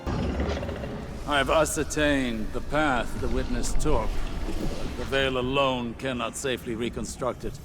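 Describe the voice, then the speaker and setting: Guy doing brainy obsessive old sage in a video game, slow formal pondering, music underneath. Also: mid-range voice, steady tone, close to an everyday voice. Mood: grave, analytical. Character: scholarly older male sage, obsessive and analytical.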